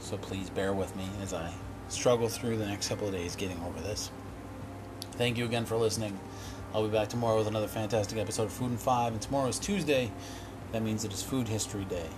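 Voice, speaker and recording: A hoarse man with a low voice reads a nostalgic essay for his cooking podcast, taking his time over each phrase. A constant hum of background noise sits under the recording. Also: fairly even intonation, fast talker. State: hoarse from illness. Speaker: podcast host, chef. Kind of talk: reading a written essay, then casual sign-off.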